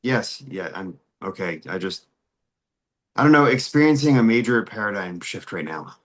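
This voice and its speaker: A man's voice: fairly low pitch, animated, normal speed, a bit bright. A man playing an incredulous, easily upset sceptic in a screenplay table read, reacting with disbelief. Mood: shaken. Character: Tom, incredulous male sceptic, easily upset audience member.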